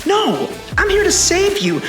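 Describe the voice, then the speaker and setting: A time-traveling bodyguard in a sci-fi action film. His voice is high and very animated, terse and commanding with a cocky edge. It rings in a roomy space with music clearly behind it. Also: measured pace, exaggerated voice. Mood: urgent, commanding. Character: urgent male time-traveling protector from the future, commanding and cocky.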